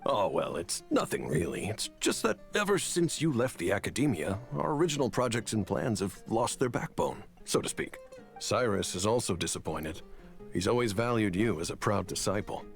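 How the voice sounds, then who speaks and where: An actor playing an earnest, politely flattering male professor in a video game, formal and persuasive. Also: fairly low pitch, moderate pace, creaky, soft background music. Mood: nostalgic, regretful.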